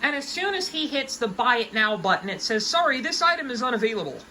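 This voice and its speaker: A young woman around twenty with a bright, forceful voice that sits a little high. She talks off the cuff to viewers in an emphatic, folksy, colloquial rant, with faint noise behind her. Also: big pitch swings, quick pace, poor audio. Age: about 20. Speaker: hobby collector giving advice. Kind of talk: casual unscripted rant to viewers.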